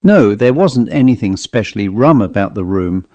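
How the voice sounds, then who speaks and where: A man's voice: lowish voice, animated, normal speed, a little mellow, no room sound, muffled recording, voice pushed down, close to an everyday voice. A man reading in character as a chatty, genial commercial traveller telling an anecdote in colloquial style for an audiobook ghost story. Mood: confiding, reminiscing. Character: dapper commercial traveller, chatty male raconteur of ghost stories.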